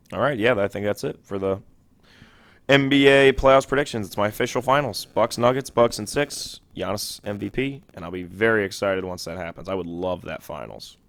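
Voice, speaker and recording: A young man hosting a sports podcast alone, talking quickly and casually, often correcting himself mid-sentence. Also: lowish voice, fairly bright tone, no echo. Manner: quick, self-correcting and chatty. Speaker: podcast host. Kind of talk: solo sports podcast talk.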